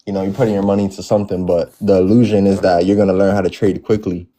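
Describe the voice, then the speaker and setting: A man in his mid-twenties calling in to a stream, talking in a laid-back, slangy way and swearing freely. His voice is low and dark. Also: flat delivery, fast talker. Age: 20s. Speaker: caller. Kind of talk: casual chat.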